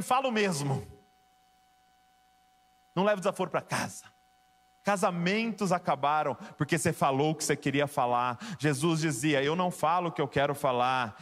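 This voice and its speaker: A man's voice: extremely high pitch, fairly bright tone. A man preaching a sermon to a congregation, emphatic and rising to passionate exhortation. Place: church with keyboard behind.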